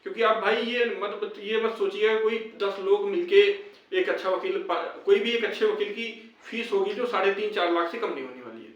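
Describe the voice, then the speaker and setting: Casting: young man, high voice, insistent explainer, recorded at a distance from the mic. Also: moderate pace, highs cut off. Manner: repetitive, explanatory, urging. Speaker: video host addressing fellow job candidates. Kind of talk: informal update and appeal to viewers. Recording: very echoey room, distant microphone.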